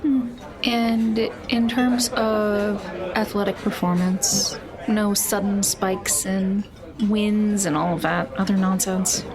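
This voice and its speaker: A woman's voice: medium pitch, fairly even intonation, slow, a crowd in the background. A woman chatting casually as a player in a tabletop roleplaying game show.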